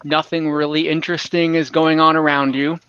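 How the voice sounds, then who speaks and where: Bright-voiced man running an online game. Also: on the high side, flat delivery, clear, resonant tone, no room sound, lo-fi sound. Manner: halting, searching for words. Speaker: game master. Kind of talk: casual narrating of an online tabletop game.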